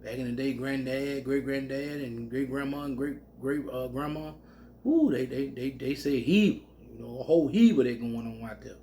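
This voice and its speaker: A man teaching scripture in a loose, colloquial country way, breaking into loud whoops. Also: medium pitch, normal speed, slight background noise. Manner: animated, bursts into loud whoops; colloquial Southern speech. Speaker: preacher.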